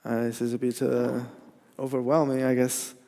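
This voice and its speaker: A man with a Portuguese accent gives a technical talk in a large hall. His voice is gravelly and sits at an ordinary pitch, and he often stops and restarts his sentences. The sound rings with a long hall reverb. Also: little pitch movement, dark tone. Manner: halting, many restarts and fillers. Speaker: conference speaker.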